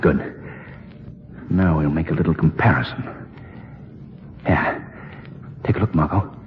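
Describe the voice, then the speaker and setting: An actor playing a shrewd, composed gentleman sleuth in a 1940s radio drama, confident and matter-of-fact. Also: a bass voice, unhurried. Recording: muffled, noisy 1940s radio recording.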